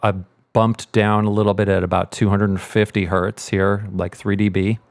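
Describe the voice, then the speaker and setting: Low-voiced man giving a dry-sounding tutorial. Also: level tone, normal speed, creaky, dry recording. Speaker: host, sound editor and mixer. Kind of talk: casual tutorial.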